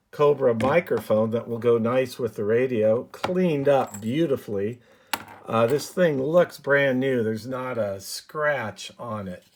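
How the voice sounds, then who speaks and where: A man with a mid-pitched voice talks through a hands-on hobby repair in a casual, unscripted way. He speaks slowly, halting to think aloud as he goes. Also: a little hiss or hum. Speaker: hobbyist presenter of a radio repair video. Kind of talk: casual unscripted show-and-tell commentary.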